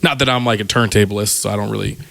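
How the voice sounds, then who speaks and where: A man's voice: lowish voice, steady tone, very fast, vocal fry, a bit bright. A man co-hosting a podcast in casual chat, giving short direct suggestions. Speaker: podcast co-host, DJ.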